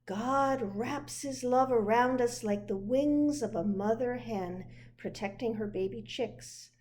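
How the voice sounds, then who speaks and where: A woman voicing a gentle, patient parent answering a child in a measured, reassuring way, from a picture book. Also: fairly high pitch, slight background noise.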